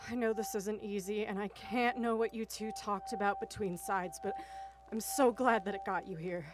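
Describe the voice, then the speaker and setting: A woman's voice: mid-range voice, fairly even intonation, normal speed, music in the background, lightly acted. A woman acting in a radio drama as a regretful, loving mother leaving a recorded message, earnest and a little hesitant. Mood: gentle, earnest.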